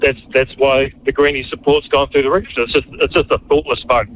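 Caller: New man, halting, fumbles words, faint background noise. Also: medium pitch, steady tone, a bit bright, low-quality recording. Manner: halting, many fillers and restarts. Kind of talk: talkback phone call.

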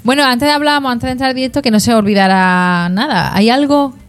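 A woman from Granada with an Andalusian accent, hosting a casual radio interview in a chatty, agreeable way. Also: a little high. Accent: Andalusian Spanish. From Granada, Spain. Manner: chatty, agreeable, quick back-and-forth. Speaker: radio host.

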